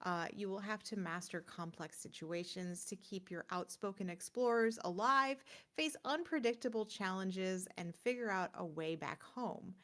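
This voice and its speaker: A woman's voice: medium pitch, normal speed, hardly any pauses, a bit bright. A woman with a mid-range voice co-hosts a gaming podcast. She talks through game picks in a chatty, informal style. Her sentences ramble as she goes, like casual conversation.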